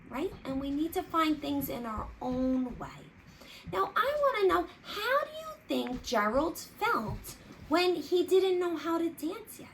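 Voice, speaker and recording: A woman hosting storytime, reading expressively and deliberately for young children. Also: high voice, a bit bright, a little hiss or hum, low-quality recording.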